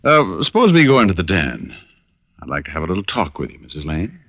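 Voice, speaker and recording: Deep, wisecracking private eye. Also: male voice, sing-song, fairly quick, fairly bright tone, heavily acted. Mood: falsely genial. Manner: wry, breezy, sarcastic. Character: wisecracking, tough, sardonic male private detective. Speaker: lead detective and narrator. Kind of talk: 1940s hardboiled radio acting. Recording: dull old radio recording with music.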